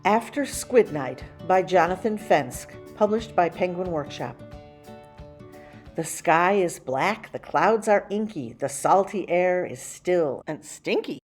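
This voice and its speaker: A woman's voice: fairly low pitch, expressive, soft background music. Woman reading a children's story expressively. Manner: theatrical, rhythmic rhyming delivery with dramatic slowing. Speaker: library story time host and reader. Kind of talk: expressive picture book read-aloud for children.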